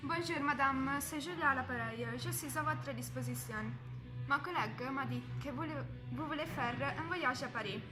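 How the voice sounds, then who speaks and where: A woman's voice: high voice, normal speed, lightly acted, low-quality recording. A woman playing a formal, easily exasperated travel agent in an amateur phone role-play. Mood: businesslike. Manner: formal, insistent. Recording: music behind.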